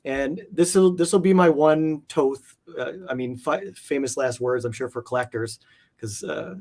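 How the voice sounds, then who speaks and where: A man with a mid-range voice talks as a guest in a relaxed interview. His explanations are hesitant and circle back on themselves as he works out what he means. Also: mid-range voice, a little slow. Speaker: guest, comic art collector. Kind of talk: casual interview chat.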